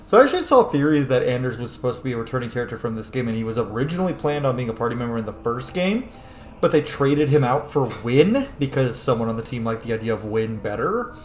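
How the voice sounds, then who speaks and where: A man's voice: medium pitch, quick pace. A man doing casual game commentary as a let's-player, blunt, playful and sweary as he reacts aloud. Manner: animated, blunt, profane, playful. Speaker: gaming streamer, let's-player. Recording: roomy echo, faint background noise.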